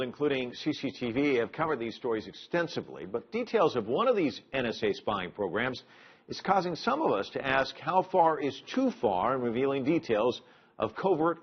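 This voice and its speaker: A man's voice: medium pitch, husky, dull audio. A man presenting broadcast news as an anchor, reading and putting questions in a formal on-air style.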